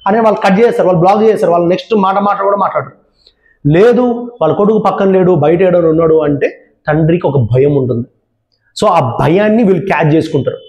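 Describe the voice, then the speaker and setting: A man's voice: high-pitched, quick pace, darkish tone. A man presenting a public awareness talk, giving earnest, repeated warnings straight to viewers. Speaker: channel presenter. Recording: roomy echo.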